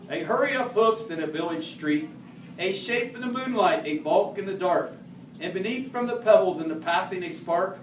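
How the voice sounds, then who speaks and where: Man, fairly high voice, amateur poem recital, halting on hard words, distant from the mic. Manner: halting on harder words, stumbling and correcting himself. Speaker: amateur reader in the role of a historical horseman. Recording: roomy, distant mic, faint background noise.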